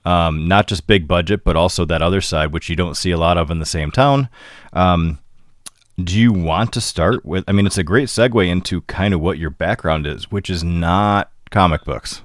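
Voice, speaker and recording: A deep-voiced man hosts a casual interview, talking in a relaxed, conversational way. His pitch sits very low, and the sound is close and dry with no room echo. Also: normal speed. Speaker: host.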